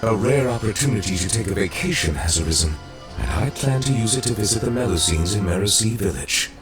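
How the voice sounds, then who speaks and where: A dignified, courteous male official from a video game , played by a man. His low voice moves expressively through formal, stately phrasing over background music. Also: animated, a little fast, close to an everyday voice.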